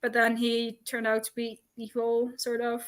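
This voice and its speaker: A woman co-hosting a book livestream, giving opinions in a halting, conversational way and voicing imagined thoughts. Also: on the high side, completely flat delivery. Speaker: co-host of a book discussion livestream. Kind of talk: casual opinionated chat. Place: online livestream call.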